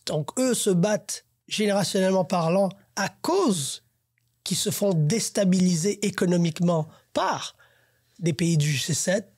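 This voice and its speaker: A man's voice: high voice, a little slow, a little mellow, husky, no room sound, clean studio sound. A man, host of a podcast, talking earnestly in a casual panel discussion and thinking aloud as he goes. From Haitian family, raised in Canada.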